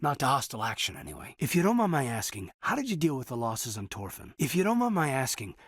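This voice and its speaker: An actor playing an earnest, thoughtful military officer in a sci-fi video game, speaking sincerely and respectfully with a husky voice. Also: male voice, medium pitch, wildly swooping pitch, fast talker, fairly bright tone, dry recording, full character voice. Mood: somber, sympathetic. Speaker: video game character, soldier. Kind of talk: video game voice acting, conversational dialogue.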